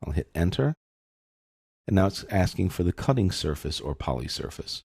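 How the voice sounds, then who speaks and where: A man narrating a software tutorial in a casual, methodical way, explaining each step as he goes. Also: deep voice, sing-song, normal speed, creaky, breathy edge, no echo, studio quality. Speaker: software instructor.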